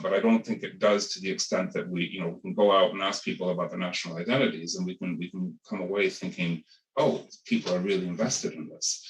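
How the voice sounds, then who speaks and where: Male academic panelist, deep voice, halting restarts, roomy distant sound. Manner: thoughtful, hesitant with many restarts. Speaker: panel speaker, ethnographer. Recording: echoey room, far from the mic.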